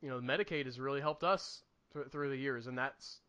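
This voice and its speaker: A man's voice: medium pitch, brisk, pushed and bright, clean tone. A man co-hosting a podcast, giving his opinions in an informal, casual chat. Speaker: podcast co-host.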